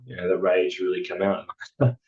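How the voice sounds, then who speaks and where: A man with a hoarse, raspy voice, talking in a halting, reflective way as a podcast guest, full of fillers and restarts. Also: sits low, a little mellow, dull audio. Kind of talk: casual reflective chat.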